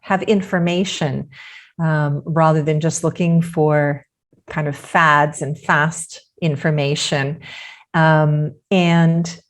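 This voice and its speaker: A woman guest on a podcast interview, talking in a thoughtful, measured way and using many fillers. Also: low-pitched, steady tone.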